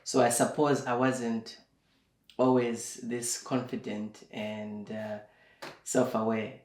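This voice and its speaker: Slow, reflective man in an echoey room. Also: medium pitch, level tone, darkish tone. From Zimbabwe. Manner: slow and reflective, with many fillers. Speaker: guest. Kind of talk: casual interview talk.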